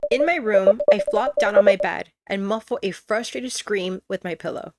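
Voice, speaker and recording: A woman narrating a visual novel aloud as a let's player, with expressive, conversational reactions. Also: mid-range voice, normal speed, slight background noise. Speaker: gaming streamer, let's player. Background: game music.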